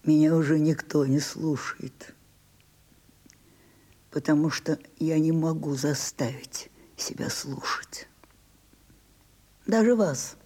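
Old French actress, imperious, theatrical, slow delivery, mellow timbre, dramatic pauses, radio drama. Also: female voice, low voice. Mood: anguished, weary. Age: older. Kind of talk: Soviet radio drama acting.

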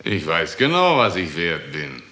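A man's voice: low-pitched, huge pitch swings, unhurried, bright, forceful tone, exaggerated voice. An actor playing a brutal young policeman in a 1960s German radio drama, mocking, swaggering and barking. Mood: smug. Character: brutal, jeering young policeman who enjoys his dirty work. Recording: noisy, echoey 1960s radio recording.

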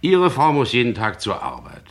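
An actor playing a persistent police chief inspector in a radio crime drama, questioning in an insistent, controlled, needling way. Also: male voice, medium pitch, wildly swooping pitch, moderate pace.

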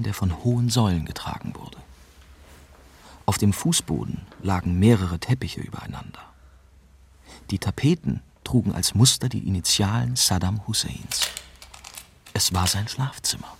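An actor playing a young German journalist who narrates his adventure in measured first-person radio drama style. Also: fairly low pitch, background noise, lightly acted. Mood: suspenseful, measured. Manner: measured, storytelling. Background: prominent music.

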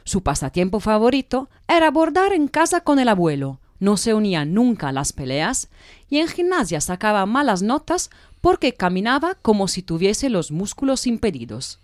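An actress playing a wry voice-over narrator who addresses listeners in a satirical audio drama. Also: female voice, medium pitch, very animated, normal speed, no echo, clean studio sound, natural voice. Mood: wry, explanatory.

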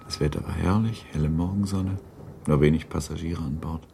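A man's voice: very deep voice, steady tone, brisk, creaky, dark tone, slight background noise. A man narrating a literary radio drama from a script in a sober, composed storytelling manner.

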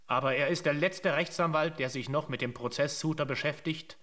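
The narrator of a radio drama. His voice sits a little high. He chronicles events in a scripted, matter-of-fact way. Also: a little fast, sharp tone. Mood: detached.

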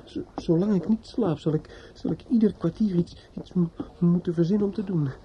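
An actor playing a despairing young man in a radio drama monologue, halting, stammering and repeating himself in fear and grief. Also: high-pitched, close to an everyday voice. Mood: lost, hesitant. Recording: dull, noisy old radio recording.

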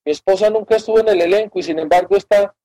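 A man's voice: sits high, very fast, warm tone, no room sound. A man in his fifties speaks as a radio guest, reflecting thoughtfully and searching for his words.

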